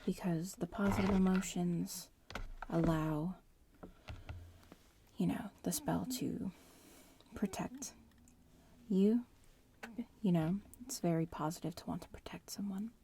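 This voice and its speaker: A woman playing a bratty young witch in training in a whispered ASMR roleplay, teasing and explaining in a breathy voice. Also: on the low side, fairly even intonation, slow, pauses often, background noise. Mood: instructive, focused. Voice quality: breathy whisper, mostly unvoiced.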